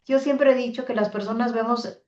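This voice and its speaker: A woman hosting an interview, asking long, probing questions in an enthusiastic, warm way. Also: on the high side, fairly even intonation, normal speed. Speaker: interviewer host. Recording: roomy, slightly dull.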